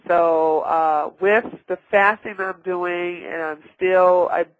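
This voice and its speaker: A man's voice: high-pitched, steady tone, slow, no room sound, poor audio. A man hosting a health question-and-answer show, sounding nasal and stuffed up, rambling and often correcting himself. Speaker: radio health show host. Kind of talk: casual question-and-answer talk.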